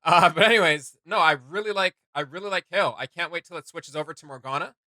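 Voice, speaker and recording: Very bright-voiced, enthusiastic man reacting. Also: on the high side, no echo. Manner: enthusiastic, halting and repetitive. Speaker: reaction video host. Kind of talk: casual reaction commentary.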